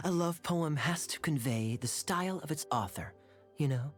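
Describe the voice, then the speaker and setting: An actor playing a suave, teasing young knight captain in a video game, with a sly, playful, smooth delivery. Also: male voice, a little high, slow, over music.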